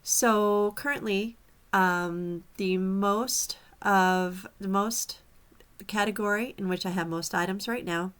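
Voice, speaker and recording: A woman's voice: medium pitch, fairly even intonation, takes it slowly, fairly bright tone, smooth. A woman from Upper Michigan with a mixed Upper Michigan and Southern Florida accent, chatting casually to her viewers about reselling. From Upper Peninsula of Michigan. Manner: chatty, friendly, addresses viewers as y'all. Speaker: reseller vlogger. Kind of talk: casual direct-to-camera vlog.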